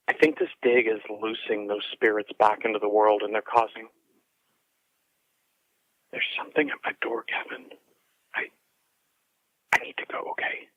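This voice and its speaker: An actor playing an anxious, apologetic researcher leaving an urgent voicemail in an audio drama, heard through a phone filter. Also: male voice, on the low side, no room sound. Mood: hushed, frightened. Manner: apologetic, hesitant, earnest. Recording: dull, dry telephone message sound.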